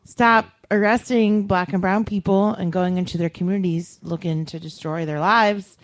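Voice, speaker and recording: A woman hosting a radio talk show, speaking in an outspoken, lively casual manner. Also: on the low side, moderate pace. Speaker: radio host. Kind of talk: casual chat.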